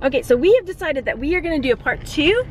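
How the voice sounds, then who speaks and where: A woman's voice: high-pitched, very animated, fast, over music. A woman in her thirties hosting a casual vlog, chatting informally with a friend. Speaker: vlog host. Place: inside a car, outdoors, and at a restaurant patio. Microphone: camera at arm's length. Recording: noisy car and outdoor handheld camera audio.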